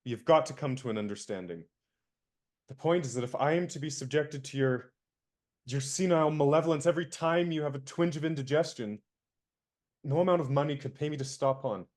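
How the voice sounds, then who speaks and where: A young man acting an indignant valet in a radio play, crisp and lecturing. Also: fairly high pitch, a little fast, a little mellow, natural voice.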